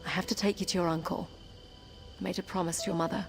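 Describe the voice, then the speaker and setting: A young blind princess on the road, speaks in a slightly low voice. She is calm, earnest and determined, in the manner of an audio adventure game, with music behind her. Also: fast, creaky. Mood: earnest, resolute.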